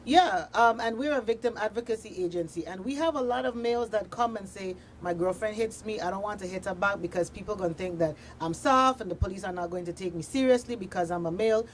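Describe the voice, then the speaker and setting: A woman's voice: mid-range voice, fast, few pauses, slight background noise. A woman speaking as a victim advocate in a radio interview, explaining emphatically in parallel, listed phrases.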